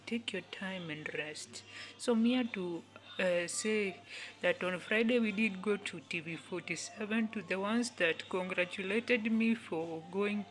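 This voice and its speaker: Woman in her 20s, talks real slow, repeats every line over and over, pleading tone, goes whispery sometimes. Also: medium pitch, rough recording. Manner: repeats each phrase several times, earnest and pleading. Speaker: youth speaker. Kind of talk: repetitive motivational talk.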